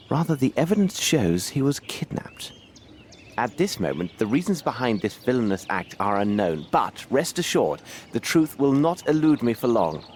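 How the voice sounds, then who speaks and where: A man voicing a shrewd, imperious gentleman detective in a video game, with a British accent and a precise, formal, theatrical delivery. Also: medium pitch, a little hiss or hum. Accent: British English. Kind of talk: dramatic video game voice acting.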